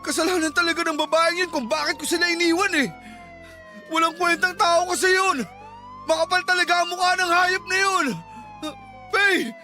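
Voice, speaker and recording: An actor with a Filipino accent playing a manipulative, lying ex-boyfriend in a dramatic radio drama style. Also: male voice, extremely high pitch, fairly even intonation, normal speed, bright, forceful tone, soft background music, full character voice. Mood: pleading, bitter. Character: manipulative, lying ex-boyfriend, by turns wheedling and menacing.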